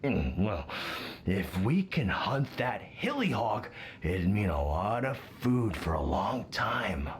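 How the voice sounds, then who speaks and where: A man's voice: lowish voice, sing-song, slow pace, a little hiss or hum. A man playing a jolly, foolish, drunken hunter father in a video game, rambling and slurring. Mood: boastful, scoffing. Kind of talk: video game character acting. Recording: game music behind the voice. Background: game music.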